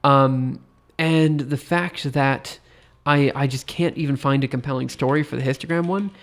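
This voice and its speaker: A man's voice: mid-range voice, fairly even intonation, normal speed. A tired man with a stuffy nose thinks aloud while live coding on a stream, rambling hesitantly and poking fun at himself. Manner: rambling, hesitant, self-deprecating.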